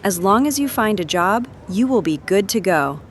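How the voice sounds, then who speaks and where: A formal customer-service clerk, played by a woman in an audio play. She is scripted, polite and dismissive at a medium pitch. There is faint noise in the background. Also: expressive, normal speed, lightly acted. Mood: bland, polite. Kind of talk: audio drama acting.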